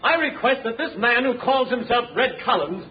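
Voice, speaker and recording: A combative male district attorney orates in a very high, animated voice. The style is indignant 1930s radio drama, recorded muffled with faint noise. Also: normal speed. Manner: forceful, indignant courtroom oratory.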